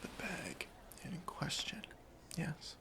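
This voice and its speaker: An ASMR performer playing a stern, suspicious airport chief security officer in a breathy, creaky whisper, with slow, deliberate, officious questioning. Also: mid-range voice, sing-song. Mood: suspicious, probing.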